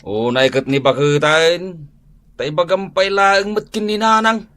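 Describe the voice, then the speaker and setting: A man's voice: high voice, unhurried, a bit bright. A man acting a bantering rural husband in a radio drama.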